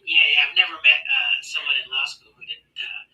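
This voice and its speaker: A man's voice: a little high, brisk, distant mic, highs cut off. A man hosting a casual online debate, sarcastic and mocking, rambling with fillers.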